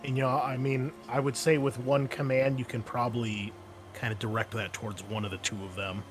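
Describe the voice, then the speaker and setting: A man's voice: medium pitch, level tone, fairly quick, soft background music. Easygoing man running a tabletop game. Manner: amused, easygoing, explains rules with many fillers. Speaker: game master.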